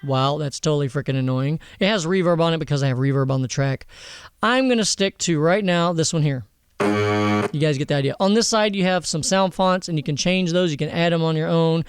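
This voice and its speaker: A man hosting a casual software tutorial in an informal, slangy way, swearing now and then. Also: fairly high pitch, lively intonation, a little fast, smooth, dry recording, soft background music. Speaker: tutorial host.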